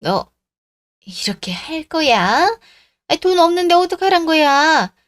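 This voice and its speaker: A woman's voice: sits high, very animated, a little slow, fairly bright tone, no echo, pitched above the performer's own voice, full character voice. Woman acting brazen, indignant middle-aged mother-in-law. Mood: flustered, defiant. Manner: blustering, scolding, outraged. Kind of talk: radio drama story acting.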